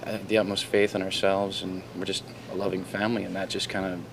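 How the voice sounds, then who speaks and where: A Canadian man, a guitarist who founded his band, answering interview questions in a confident, rambling way and clearing his throat now and then. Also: low voice, level tone, fast. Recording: raw camcorder audio with noticeable noise.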